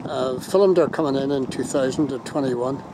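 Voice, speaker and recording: A man's voice: medium pitch, moderate pace. A middle-aged man with a Northern Irish accent, chatting casually as he films from a windy hilltop, rambling with fillers and correcting himself. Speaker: vlogger. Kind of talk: casual walking commentary. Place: outdoors on a windy hilltop viewpoint. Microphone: handheld phone camera. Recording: wind noise on a phone mic outdoors. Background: wind.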